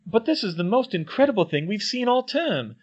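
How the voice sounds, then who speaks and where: A man's voice: high-pitched, fairly quick, pitched above the performer's own voice. A man doing a cheeky teenage wizard twin. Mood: teasing, amazed. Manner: teasing, animated banter. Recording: dull lo-fi recording.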